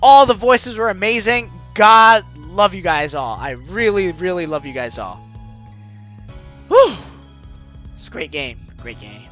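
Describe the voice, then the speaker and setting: A gaming host gives let's play commentary with a bright, forceful edge and very animated pitch. The delivery is expressive and effusive, full of exclamation. Light game music plays behind the voice. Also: measured pace. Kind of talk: let's play commentary, also reading on-screen text aloud.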